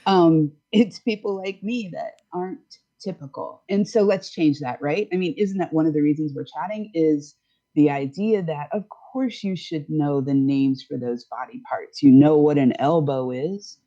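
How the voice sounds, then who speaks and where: A woman's voice: sits low, normal speed, warm tone, dull audio. A woman in her fifties, a sex educator, explaining things frankly and easily in a casual podcast chat. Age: 50s.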